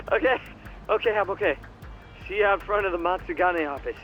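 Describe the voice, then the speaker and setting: An actor playing an amused, mocking friend in a video game cutscene, in dull, limited sound. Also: male voice, sits high, brisk, fairly bright tone, soft background music.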